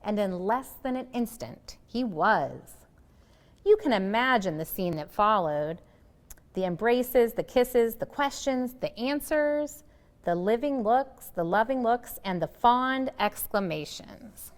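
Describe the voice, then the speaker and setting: A woman reading a children's picture book aloud in an expressive, deliberate storytelling style. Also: a little high. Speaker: storybook reader.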